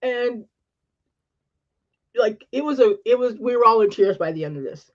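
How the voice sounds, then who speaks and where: A woman with an upper-class Canadian accent, talking earnestly in quick fragments as a panelist on a live-streamed chat. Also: fairly high pitch, animated, highs cut off.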